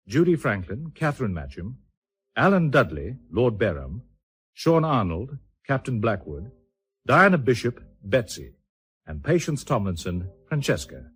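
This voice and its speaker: A man announces radio credits in a formal, scripted style. His mid-pitched voice is markedly husky, with animated intonation that keeps each phrase moving.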